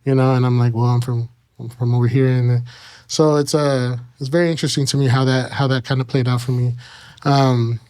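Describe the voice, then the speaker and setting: A man in his thirties with an American accent, telling his personal story casually as an interview guest, with halting phrasing and frequent pauses. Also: mid-range voice, flat delivery, darkish tone, clear, resonant tone. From Kansas and Mexico. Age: 30s.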